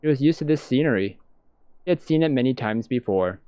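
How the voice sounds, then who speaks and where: Crisp-voiced man reading aloud. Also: medium pitch, expressive, fairly quick, dark tone, no room sound. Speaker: let's-play reader. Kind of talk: reading visual novel narration aloud with brief reactions. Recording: dull lo-fi sound, music behind.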